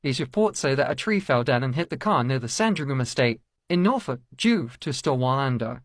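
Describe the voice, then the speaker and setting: A man's voice: mid-range voice, lively intonation, brisk, dry recording, studio quality, natural voice. A man playing a TV continuity announcer, formally and apologetically interrupting with grave news. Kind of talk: amateur mock emergency broadcast announcement.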